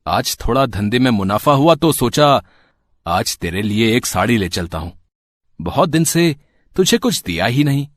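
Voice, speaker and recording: A man's voice: mid-range voice, big pitch swings, normal speed, no room sound, studio quality. A man playing a poor, loving husband in a moral story, speaking earnestly and tenderly. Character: loving, poor husband and worried auto driver. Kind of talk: acted dialogue in a Hindi moral story.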